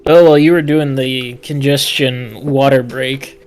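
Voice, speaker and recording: A man's voice: mid-range voice, fairly even intonation, a little slow, rough recording. A man co-hosting a podcast with casual, slangy, joking banter. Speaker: podcast co-host.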